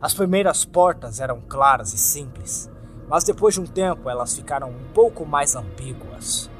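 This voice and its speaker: A man's voice: medium pitch, expressive, moderate pace. A man with a Brazilian accent reading a scripted horror story as narrator, in a dramatic, suspenseful, theatrical way. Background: music.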